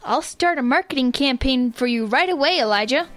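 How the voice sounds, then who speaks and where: A sassy, confident daughter with a head for business in a family radio comedy, played by a woman. Her voice is high and very animated, with blunt delivery and a dry, close sound. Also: brisk, dry recording, faint music behind. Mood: businesslike.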